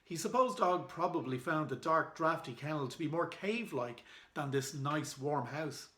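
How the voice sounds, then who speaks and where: A man's voice: a little high, animated, normal speed, sharp tone. An Irish man reading aloud in a children's storytime style, as an author and illustrator. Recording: echoey room.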